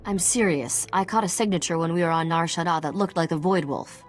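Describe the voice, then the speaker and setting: A woman acts a cautious spacer in a game. Her low voice is earnest with a sardonic streak, and music sits behind it. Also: a little fast, close to an everyday voice. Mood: serious warning. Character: sharp, cautious female starship crewmate of the captain. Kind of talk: video game cutscene acting.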